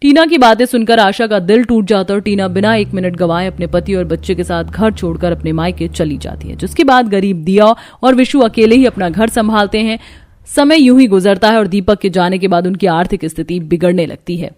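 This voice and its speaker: A woman narrating a cartoon story in a calm, steady storybook style. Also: brisk. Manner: calm, steady, lower than her character voices.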